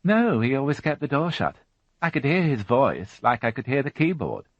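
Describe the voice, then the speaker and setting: A man's voice: medium pitch, big pitch swings, normal speed, no room sound, pitched above the performer's own voice. A man voicing a fussy, prim middle-aged housewife who chatters and turns indignant, in a storybook reading. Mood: chatty. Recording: dull, dry studio audiobook.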